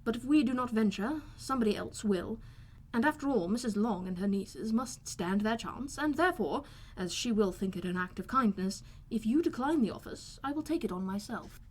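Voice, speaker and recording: A woman acts out a middle-aged father in an audiobook, calm and sardonic. The delivery is measured and wordy, with deadpan teasing. The voice is slightly husky. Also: on the high side. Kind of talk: audiobook character voice.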